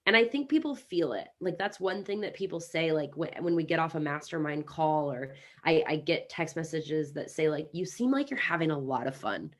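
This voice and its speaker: An American woman in her thirties, chatting as a guest on an interview over a video call, upbeat and talkative with quick restarts. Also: sits low, expressive. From Kansas City, United States. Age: 30s. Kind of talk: casual interview chat.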